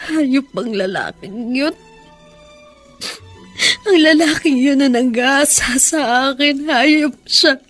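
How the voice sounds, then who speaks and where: An actress playing a grieving, bitter young daughter in a melodramatic radio drama, tearful and anguished. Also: female voice, high voice, takes it slowly, long pauses, a bit bright, faint music behind. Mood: bitter grief.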